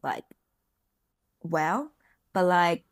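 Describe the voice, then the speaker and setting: A young woman with a Taiwanese accent, her native Mandarin showing through small grammar slips, talks to camera in a relaxed vlog. Her voice sits a little low, and she keeps the flow loose with plenty of fillers. The sound is dry and close, with no room around her. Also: very slow, dry recording. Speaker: software engineer and vlogger. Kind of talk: casual talk to camera.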